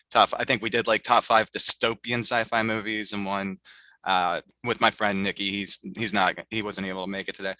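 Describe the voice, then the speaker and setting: Rambling man host, very bright voice. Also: on the low side, no echo, low-quality recording. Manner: rambling, filler-heavy. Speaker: podcast host. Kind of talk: casual chat.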